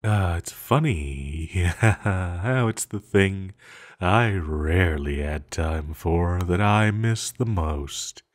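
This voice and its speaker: An ancient male villain played by a man speaks very low and very slowly, as if in an intimate audio roleplay. He is seductive and possessive, teasing as he schemes, with sighs and lingering pauses. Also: animated, heavily acted. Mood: wistful, teasing.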